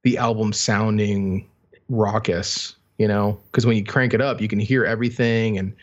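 A man's voice: lowish voice, steady tone, normal speed. A man talking casually about music as a podcast host, on a slightly dull, lo-fi recording.

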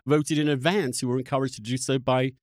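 A man's voice: medium pitch, steady tone, a little fast, a little mellow, no echo, clean studio sound. A man hosting a podcast debate, combative and insistent, pressing his point with pointed questions. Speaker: podcast host and interviewer. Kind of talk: heated political debate.

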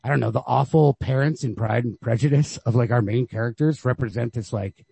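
Male podcast co-host, husky and creaky, casual book talk, feels his way through each point. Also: medium pitch, a little fast, dark tone, no room sound. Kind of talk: casual literary discussion.

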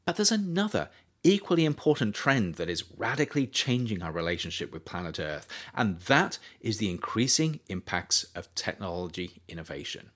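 A man reading his own nonfiction book aloud as audiobook narration, measured and thoughtful, lifting his voice on key phrases. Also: fairly low pitch, sing-song, a bit bright.

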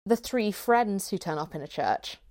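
A woman's voice: mid-range voice, expressive, normal speed, a little mellow. A woman with a mid-range voice speaks casually, working out thoughts as they come. The manner is that of a writer looking back on a project, a little self-deprecating. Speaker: writer discussing their own show. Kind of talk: casual reflective conversation.

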